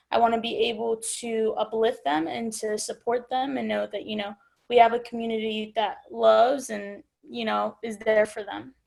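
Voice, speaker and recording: A woman's voice: a little high, vocal fry. A young woman, a college student, casually introducing herself as a panelist on a video call. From Uruguay and New Jersey. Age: young adult.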